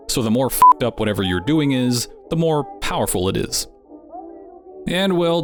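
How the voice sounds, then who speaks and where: A man hosting and narrating a video in a wry, conversational way. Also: medium pitch. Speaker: host. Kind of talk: scripted narration. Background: music.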